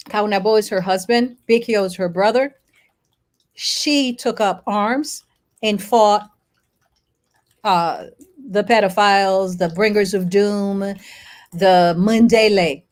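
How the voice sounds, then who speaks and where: Slow, deliberate woman from Haiti. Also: medium pitch. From born in Haiti, raised in the United States. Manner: deliberate, emphatic, short phrases with pauses. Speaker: guest speaker, lawyer and activist. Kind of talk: explanatory historical talk in an interview.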